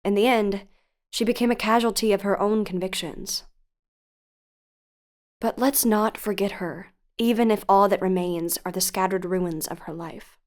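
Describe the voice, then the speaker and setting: An actress playing a composed, frank investigative podcast host, narrating in a measured, earnest way in an audio drama. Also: female voice, mid-range voice, steady tone, natural voice. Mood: solemn.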